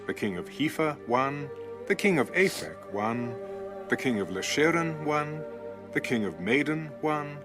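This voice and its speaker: A man's voice: on the high side, animated, unhurried. A man narrating scripture in a dramatic, scripted style as an audio Bible reader. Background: music.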